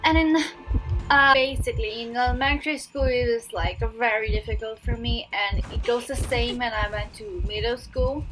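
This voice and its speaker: A woman's voice: a little high, steady tone, moderate pace, poor audio. Cantonese-accented young woman over game music. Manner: chatty, with fillers and restarts. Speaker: gaming vlogger. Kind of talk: casual storytime over gameplay.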